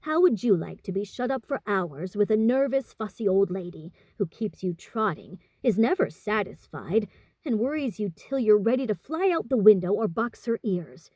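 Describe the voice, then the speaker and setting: A woman's voice: medium pitch, expressive, normal speed, dark tone, no echo, lightly acted. A woman voicing a blunt, boyish teenage tomboy in a storybook, brash and plain-spoken. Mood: grumbling.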